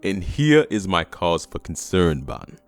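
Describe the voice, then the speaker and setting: A man playing an imperious, impatient, scheming official with a commanding, smooth-talking menace in an audio drama. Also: low voice, big pitch swings, unhurried, faint music behind. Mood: scheming, persuasive. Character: imperious, impatient, scheming male government schemer with metal arm.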